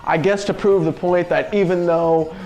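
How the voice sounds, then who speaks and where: Male game-show co-host, slightly high voice, casual and opinionated film reviews, slangy rants, faint music underneath. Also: steady tone, moderate pace, darkish tone, rough recording.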